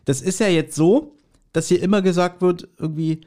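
A man's voice: sits high, steady tone, moderate pace, dark tone. A man chatting casually as a podcast host, telling rambling stories with mock grievance.

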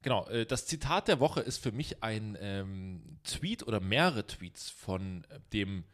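A man's voice: lowish voice, animated, measured pace, fairly bright tone, faint music behind. A young man co-hosting a comedy podcast, rambling and teasing with lots of fillers. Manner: rambling, joking, many fillers. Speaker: podcast co-host. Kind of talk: casual chat.

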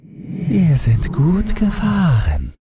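A man voicing a tall, icy Snow Queen in a fairy tale, slow, deliberate and coaxing. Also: on the high side, wildly swooping pitch, music in the background, pitched above the performer's own voice, full character voice. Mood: coaxing, inviting. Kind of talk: fairy-tale audiobook character.

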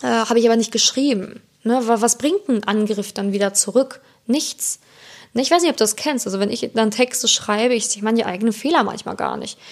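A woman with a fairly high, very creaky voice hosts a motivational podcast. German is not her first language. She talks informally and directly, addressing the listener personally like a coach. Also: brisk. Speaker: podcast host and self-love coach.

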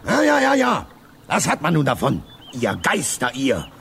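An actor playing an old, grumbling guardian in a children's radio play, gruff in manner as he scolds some spirits. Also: on the high side, wildly swooping pitch, normal speed, a bit bright, full character voice.

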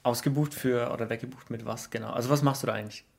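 A man's voice: medium pitch, steady tone, very fast, vocal fry. Easygoing male podcast host. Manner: jokey, easygoing. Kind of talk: casual interview chat.

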